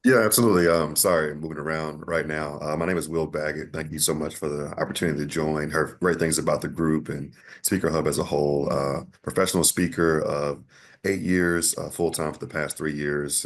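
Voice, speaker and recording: A professional speaker introducing himself politely and briskly on a call, with "uh" fillers. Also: male voice, extremely low pitch.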